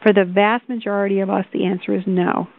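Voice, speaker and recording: A woman giving a measured explanatory talk as a financial advisor on a conference call, posing rhetorical questions over a telephone line. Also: mid-range voice, flat delivery. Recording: tinny, narrow phone line.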